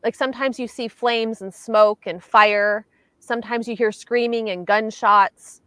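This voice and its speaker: A woman chatting casually on a livestream, telling things in a halting, reflective way. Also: a little high, steady tone, bright, forceful tone, clear, resonant tone, dry recording. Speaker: host of reading livestream. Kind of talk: casual chat.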